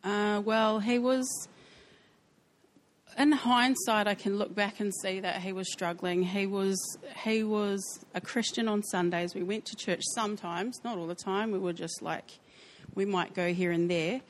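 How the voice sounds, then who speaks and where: Soft-spoken New Zealand woman. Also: mid-range voice, fairly even intonation, measured pace, slight background noise. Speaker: church member sharing testimony. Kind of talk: personal testimony interview.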